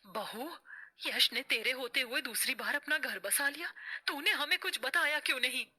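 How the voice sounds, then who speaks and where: A woman's voice: high voice, normal speed, pushed and bright, husky, pitched above the performer's own voice, exaggerated voice. A woman voicing a doting, emotional older village mother-in-law in a dramatic cartoon story. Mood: dismayed.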